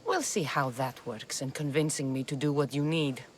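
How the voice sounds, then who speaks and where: A woman's voice: a bass voice, normal speed, a little hiss or hum. An actress playing a tough, wry young mercenary woman with a Greek accent, dry and self-assured, in a video game cutscene.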